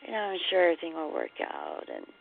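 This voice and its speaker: A tired woman calling in to a show, confiding in a gravelly voice after going without sleep. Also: low-pitched, wildly swooping pitch, fast talker. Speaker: caller. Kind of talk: confiding, personal phone conversation. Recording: tinny lo-fi phone line.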